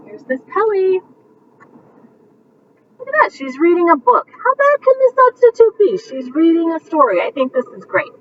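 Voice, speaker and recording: Lively woman reading a bedtime story. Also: extremely high pitch, far from the mic, highs cut off, a little hiss or hum. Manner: animated, pausing to point out pictures to young listeners. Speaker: teacher reading a picture book aloud. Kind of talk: bedtime story read-aloud with commentary.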